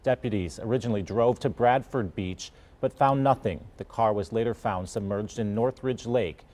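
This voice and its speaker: A man news anchor reading in a crisp, formal delivery. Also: on the low side, moderate pace, a little mellow.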